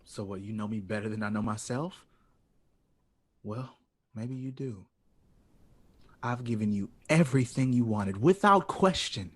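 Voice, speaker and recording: A man acting in an audio drama as a wounded, bitter 1960s jazz composer, sarcastic and theatrical in manner. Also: fairly high pitch.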